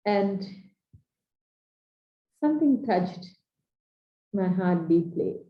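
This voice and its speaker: A woman from Sri Lanka with a Sri Lankan English accent, giving an earnest, measured coaching talk in short, paused phrases. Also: mid-range voice, animated. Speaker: transformational coach. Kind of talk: slow reflective training talk. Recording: dull echoey room recording.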